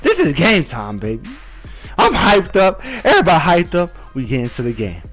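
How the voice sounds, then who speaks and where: Lively young man telling a story. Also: on the high side, normal speed, over music. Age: young adult. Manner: animated slang-filled storytelling. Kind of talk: casual storytelling vlog.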